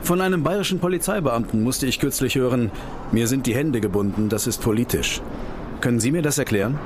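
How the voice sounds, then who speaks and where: A man's voice: mid-range voice, brisk, close to an everyday voice. Pointed male investigator, acted, amid background noise. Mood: challenging. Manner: pointed, sardonic, persistent questioning. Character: ambitious, probing male investigator, politely combative. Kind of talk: radio play dialogue.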